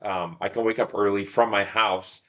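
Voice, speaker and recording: Low-voiced man rambling casually as podcast guest. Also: steady tone, normal speed, fairly bright tone, lo-fi sound. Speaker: podcast guest, ultramarathon runner and shop owner. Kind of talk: casual interview talk, telling stories about racing.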